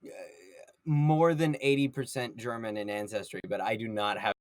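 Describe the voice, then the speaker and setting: A man's voice: medium pitch, steady tone, moderate pace, no room sound. A man chatting casually and playfully as a podcast co-host on an online voice call. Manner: playful, jokey. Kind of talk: casual chat about manga.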